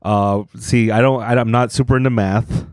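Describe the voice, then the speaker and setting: Deadpan, teasing man podcast host. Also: medium pitch, moderate pace, no room sound, clean studio sound. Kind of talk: casual podcast chat.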